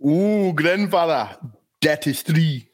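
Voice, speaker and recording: A man's voice: a little high, takes it slowly. A man reading the part of a teasing young grandson, sly and counting.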